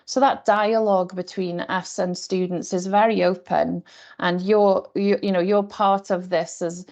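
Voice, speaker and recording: Low gravelly woman lecturer. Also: steady tone, a little slow, darkish tone. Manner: earnest, with frequent fillers. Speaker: programme lecturer. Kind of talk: online open day presentation.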